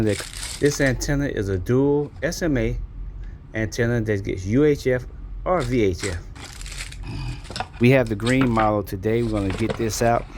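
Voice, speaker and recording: A man's voice: on the low side, a little mellow, a little hiss or hum. A man giving a casual, folksy product walkthrough as a reviewer in an unboxing video.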